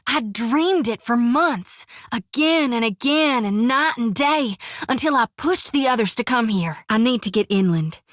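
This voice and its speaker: Drawling young priestess, folksy and earnest, rambling, fairly high voice, dry studio sound. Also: female voice, animated, a little slow, a bit bright, dry recording. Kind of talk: video game voice acting.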